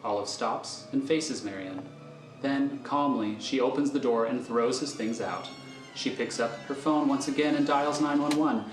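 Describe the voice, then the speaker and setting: A male narrator reads scripted stage directions for a radio thriller in a mid-pitched voice. The room echoes around him, and guitar music plays underneath. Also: normal speed. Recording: roomy echo with music underneath.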